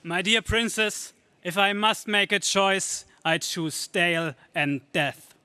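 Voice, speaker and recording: An actor playing a brave, decisive young hero in an earnest, stagey 1930s space radio serial style. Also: male voice, sits high, slow pace, bright, forceful tone, clear, resonant tone, dry recording, full character voice. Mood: noble, defiant.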